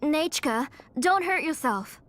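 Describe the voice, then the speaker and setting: A woman's voice: sits high, expressive, slow, fairly bright tone, exaggerated voice. A woman voice acting a solemn, protective aunt in a video game, with a formal, earnest way of talking. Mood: worried scolding. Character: solemn, protective aunt devoted to her little girl. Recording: prominent game music behind the voice.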